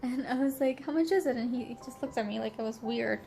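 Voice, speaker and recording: A woman's voice: fairly high pitch, quick pace, vocal fry, dark tone, faint music behind. A young woman with a stuffy, congested nasal voice, chatting casually in a travel vlog, rambling and giggly with lots of fillers. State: congested from allergies. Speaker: travel vlogger. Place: hotel room.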